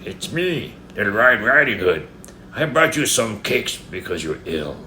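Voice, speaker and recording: A man voicing a sly wolf who hides his gruffness behind a quavering, falsely sweet little voice in a storybook. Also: lowish voice, sing-song, unhurried, bright, forceful tone, roomy sound, a little hiss or hum, pitched above the performer's own voice, full character voice. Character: wolf pretending to be little old grandmother.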